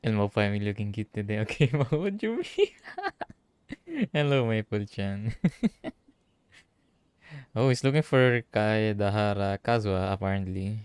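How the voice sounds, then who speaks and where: A man's voice: on the low side, lively intonation, normal speed, a little mellow, clear, resonant tone, no echo. A man from the Philippines, giving offhand, slangy live gaming commentary over game music. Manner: offhand, slangy, reacting aloud. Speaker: VTuber game streamer.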